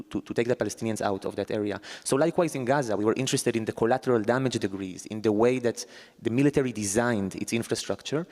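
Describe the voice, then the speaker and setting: A man's voice: on the low side, darkish tone. A man with an Israeli accent, an investigative journalist speaking earnestly on a festival panel, often repeating words as he searches. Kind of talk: panel discussion talk. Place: festival conference hall. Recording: long reverb of a large hall.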